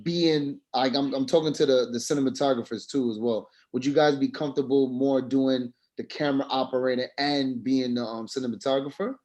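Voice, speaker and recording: A man's voice: medium pitch, steady tone, fairly quick, few pauses, a little mellow. Man talking casually in a discussion. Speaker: film student in a group meeting.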